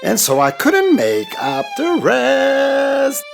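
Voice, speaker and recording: A woman's voice: high voice, huge pitch swings, slow pace, gravelly, over music, full character voice. A woman voicing a lazy, vain, carefree male grasshopper in a children's cartoon, with a breezy, dismissive, singsong manner. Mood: carefree singing.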